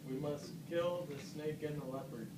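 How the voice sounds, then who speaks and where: A scheming animal war leader played by a man gives orders in a puppet play. The voice is a little high, distant and buried in loud noise. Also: fairly even intonation, normal speed, close to an everyday voice. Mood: scheming, commanding. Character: scheming male animal leader, Antony, commanding. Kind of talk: amateur read-aloud puppet play. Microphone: far camera. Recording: echoey classroom, distant mic, loud noise.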